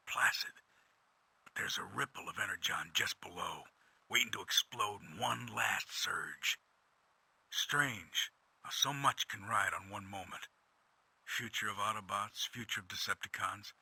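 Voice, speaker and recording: An actor playing a weary, stoic veteran robot soldier in a video game audio log, with a gravelly voice and plain-spoken way of talking. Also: male voice, on the low side, unhurried, pushed and bright, no room sound, heavily acted. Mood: solemn, tense. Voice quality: gravelly, rasping.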